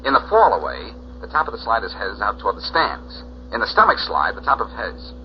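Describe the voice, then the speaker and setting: A man's voice: fairly low pitch, sing-song, fairly quick. A male actor playing a cocky, wisecracking amateur sleuth with a glib, teasing manner in 1940s radio detective style. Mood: brisk, explaining. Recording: dull, noisy 1940s radio recording.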